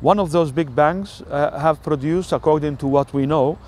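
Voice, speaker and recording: Older Italian-accented man, halting delivery. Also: on the high side, darkish tone, a little hiss or hum. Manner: halting, many filler sounds. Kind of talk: rambling personal monologue.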